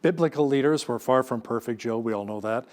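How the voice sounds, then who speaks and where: A man with a Canadian accent interviewing a guest, asking clear, measured questions. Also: mid-range voice, a little mellow. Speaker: news interviewer.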